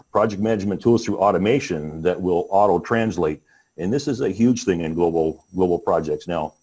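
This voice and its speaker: A man presenting on a business webinar, talking in a halting way with many restarts and fillers. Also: low voice, a little mellow, rough recording. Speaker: panel speaker.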